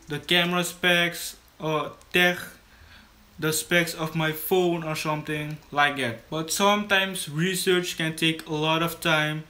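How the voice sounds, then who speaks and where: A man's voice: fairly high pitch, sharp tone. A teenage boy from Suriname whose first language is Dutch, casually explaining his video process to camera in a small room studio, often restarting his words. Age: teens. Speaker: YouTube creator. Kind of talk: scripted tutorial vlog to camera. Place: small bedroom studio indoors.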